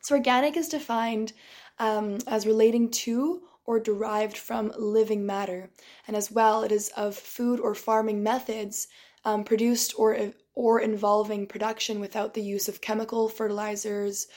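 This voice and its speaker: A woman's voice: medium pitch, flat delivery, a little mellow. Woman with a tired, strained voice. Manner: halting, with many restarts and throat clearing. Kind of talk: casual reflective monologue.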